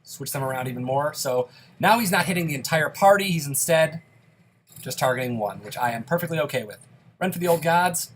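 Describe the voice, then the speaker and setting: A man's voice: a little high, animated, brisk, a little hiss or hum. A young man giving casual, self-deprecating play-by-play commentary on a dungeon game while a bit sick. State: slightly sick, occasional coughs. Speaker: gaming YouTuber.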